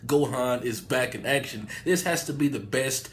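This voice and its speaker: A man's voice: medium pitch, moderate pace, a little hiss or hum. A man who has a cold and sounds nasal, giving a hyped, profane, rambling review of an anime episode. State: sick, nasal. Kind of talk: excited casual review vlog.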